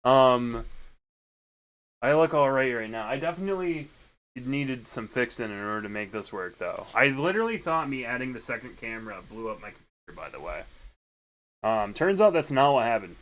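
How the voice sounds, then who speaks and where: A young man with a deeper voice streaming from his computer, rambling and correcting himself as he fiddles with settings and chats to viewers. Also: a bit bright. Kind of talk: casual stream chat while setting up.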